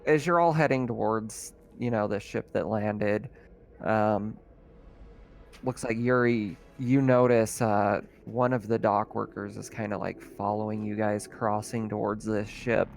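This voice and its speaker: A man's voice: on the low side, fairly even intonation, measured pace, creaky. A man narrating a tabletop roleplaying game as game master, in a casual, conversational, improvised way. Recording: music under the voice.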